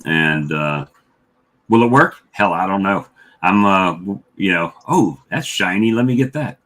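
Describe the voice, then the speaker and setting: A man's voice: sits low, lively intonation, a little slow, a bit bright. A man in his fifties talking casually about his own views on a livestream with fellow hobbyists. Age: 50s. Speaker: beekeeper livestream host.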